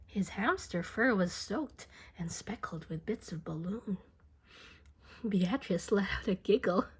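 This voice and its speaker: Slow, very animated woman storyteller. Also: mid-range voice, breathy edge. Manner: expressive, dramatic, with whispers and playful sound effects. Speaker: picture book reader. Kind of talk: animated children's read-aloud storytelling.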